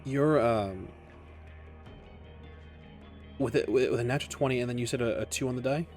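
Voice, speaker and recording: A man acting as game master, improvising narration with deliberate, dramatic phrasing and pauses. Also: mid-range voice, darkish tone. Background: faint music.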